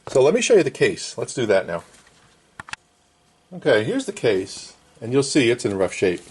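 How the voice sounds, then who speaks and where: A man's voice: mid-range voice, huge pitch swings, normal speed, a little mellow, slight background noise. A middle-aged man casually explaining a hands-on repair at an indoor workbench in short, plain phrases. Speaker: vintage radio restorer and presenter.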